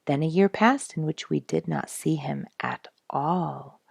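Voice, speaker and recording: A woman reading a nature storybook aloud in an expressive, measured, dramatic storytelling manner. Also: low voice, vocal fry, slightly rough, no room sound, studio quality. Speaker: audiobook reader and show host.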